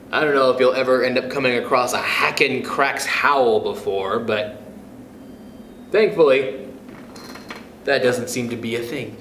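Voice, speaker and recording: A man reading a children's picture book aloud in a playful, expressive way, chuckling between passages, then speaking to young listeners himself. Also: medium pitch, a little slow, pauses often, slight background noise. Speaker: youth club storyteller. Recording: echoey room.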